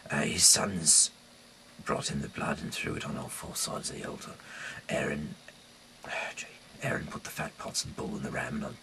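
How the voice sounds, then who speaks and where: A man with an extremely low, gravelly voice reads scripture aloud over some background noise. His delivery is halting, and he trips over words and doubles back on phrases as he narrates. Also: bright, forceful tone, poor audio. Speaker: host reading scripture aloud. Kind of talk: read-aloud Bible narration with casual slips.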